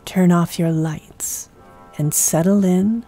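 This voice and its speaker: A woman hosting an audio drama podcast, reading a scripted introduction in a measured, hushed manner. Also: fairly low pitch, steady tone, faint music behind. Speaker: podcast host and producer.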